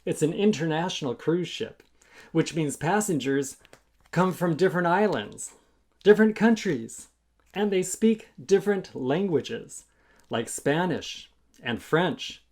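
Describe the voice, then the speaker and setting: Animated male storyteller with rhythmic delivery. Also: fairly high pitch, measured pace. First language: English. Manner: theatrical, rhythmic, inviting audience participation. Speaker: children's author and storyteller. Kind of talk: animated children's storytime reading with scat chanting.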